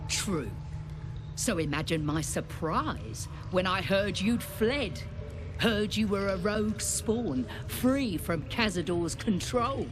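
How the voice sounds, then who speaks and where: A grave, slow-spoken monster hunter. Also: female voice, mid-range voice, expressive, over music. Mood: grave, reproachful. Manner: measured, grave, accusing. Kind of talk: dramatic video game acting.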